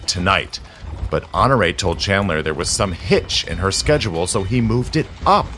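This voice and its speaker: Scheming, low-voiced, cynical conspirator. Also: male voice, expressive, normal speed, a bit bright, a little hiss or hum. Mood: bitter recounting. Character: scheming, cynical male society conspirator, world-weary and superior. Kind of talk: audio drama acting.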